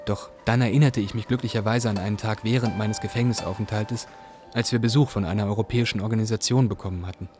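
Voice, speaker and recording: Man as low-voiced, earnest asylum seeker. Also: fairly even intonation, fast talker, natural voice. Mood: hopeful recounting. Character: weary Iraqi asylum seeker telling his story. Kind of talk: radio drama narration. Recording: radio production with music behind.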